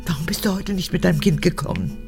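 An actress in a radio drama playing a manipulative, childish old woman in her eighties, an unrepentant former camp guard, with a husky, gravelly voice. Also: fairly low pitch, fast talker, over music. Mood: confused, needy. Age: 80s. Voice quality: husky, gravelly, often breaking into whispers. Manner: capricious, swinging between childlike pleading and cold recounting.

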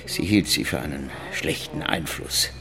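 A man's voice: very deep voice, normal speed, creaky, sharp tone, heavily acted. An actor playing a guarded, middle-aged father being questioned in a German radio drama. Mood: uneasy, defensive. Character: Alex Henry, soft-spoken father and museum man under questioning.

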